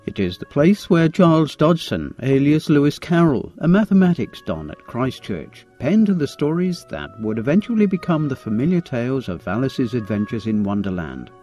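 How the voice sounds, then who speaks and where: A man narrating a scripted audio walking tour in a measured, informative way. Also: medium pitch, sing-song, warm tone, lo-fi sound, soft background music.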